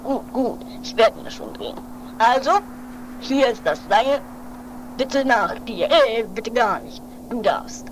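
High female voice, acted jittery filming sidekick, dramatic repetitive muttering, amateur radio play, noisy home-made eighties recording. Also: lively intonation, measured pace. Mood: bustling, solemn. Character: nervous, devoted filming companion of a daredevil tightrope walker.

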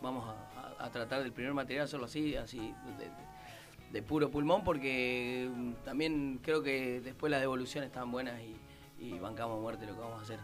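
A man's voice: mid-range voice, steady tone, fairly quick, faint music behind. A man from a band, answering interview questions earnestly and repeating himself to make his point. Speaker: band member.

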